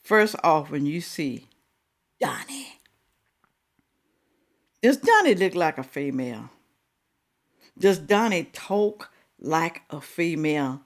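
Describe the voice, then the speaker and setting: A woman hosting a reaction video, talking casually, scolding and animated with drawn-out exclamations. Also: low voice.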